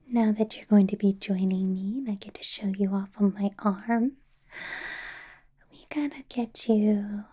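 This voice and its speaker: Woman acting a slow, whispering, possessive vampire boss. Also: medium pitch, dark tone. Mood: delighted, doting. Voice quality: breathy whisper. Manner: slow, deliberate, coaxing, teasing. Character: possessive, doting female vampire boss, playfully menacing. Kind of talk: whispered ASMR roleplay, personal attention.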